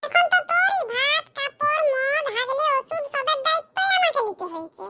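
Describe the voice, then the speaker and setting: A performer voicing a fed-up, sarcastic wife scolding her husband in a comedy cartoon, with a rural West Bengal accent and a pitch-shifted voice. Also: animated, moderate pace, very noisy. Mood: exasperated. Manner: sharp, sarcastic, colloquial scolding. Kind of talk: comedy cartoon skit dialogue.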